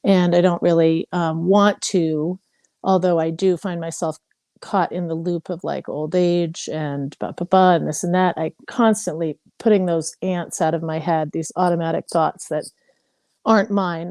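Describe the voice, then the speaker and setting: A woman's voice: fairly low pitch, steady tone, measured pace, darkish tone, no room sound. A woman in her fifties from Hawaii, candidly sharing her story in a casual group call, with many fillers. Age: 50s. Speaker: participant.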